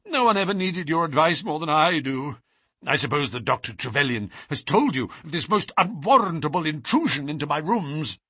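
A man's voice: on the high side, moderate pace, husky, no echo, clean studio sound, exaggerated voice. A man voicing a nervous, fearful fat man with a reedy, quivering voice for an audiobook story. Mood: anxious. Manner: stammering, anxious, excitable. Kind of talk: audiobook character voice.

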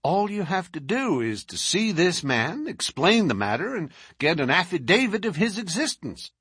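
A man's voice: sits high, sing-song, slightly rough, dry recording, studio quality, voice pushed up, heavily acted. A man voicing a brash American lawyer who pushes hard and talks in a hurry. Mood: pushy. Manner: forceful, hurried. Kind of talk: audiobook character reading.

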